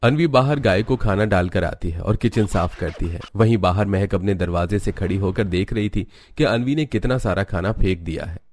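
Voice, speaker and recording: A man's voice: fairly low pitch, normal speed, few pauses, a little mellow, a little hiss or hum. A man reading scripted narration for a cartoon story as its narrator.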